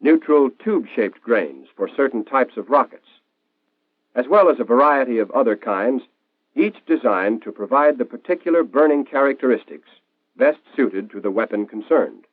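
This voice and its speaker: A man's voice: mid-range voice, expressive, normal speed, no room sound. American man narrating a tinny 1940s training film. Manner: clear, didactic, explanatory. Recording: tinny band-limited 1940s film soundtrack.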